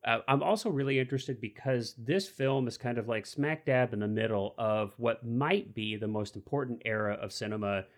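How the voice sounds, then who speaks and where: A man's voice: mid-range voice, moderate pace, hardly any pauses. A man in his forties hosting a casual film discussion, talking enthusiastically and rambling through restarts. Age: 40s.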